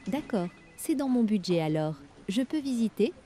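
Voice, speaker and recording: A woman reading everyday French dialogues for learners, with clear, careful scripted delivery. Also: mid-range voice, very animated, normal speed, warm tone, natural voice.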